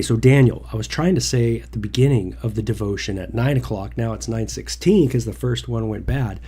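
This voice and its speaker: A man's voice: medium pitch, warm tone, breathy edge. A man hosting a casual Bible devotional livestream from home, a little nasal from a cold, rambling with many fillers and restarts. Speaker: devotional host.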